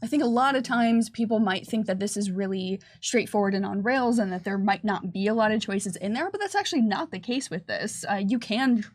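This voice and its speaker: A woman's voice: medium pitch, a little fast, hardly any pauses. A woman explaining things conversationally as a developer on a casual livestream Q&A, often thinking aloud. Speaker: game developer presenting on a company livestream.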